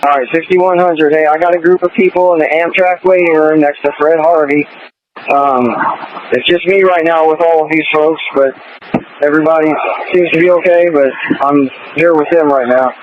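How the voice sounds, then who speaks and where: A man with a slightly high voice reports over a thin, tinny police radio. He is an officer talking unscripted, with clear noise on the channel. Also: steady tone, moderate pace. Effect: radio transmission.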